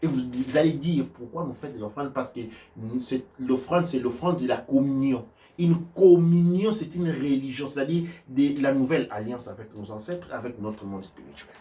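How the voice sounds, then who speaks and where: A man giving religious teaching on offerings and prayers, speaking haltingly with many restarts and sudden shifts between loud and soft. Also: medium pitch, distant mic. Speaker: spiritual teacher. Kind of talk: religious teaching in a live stream.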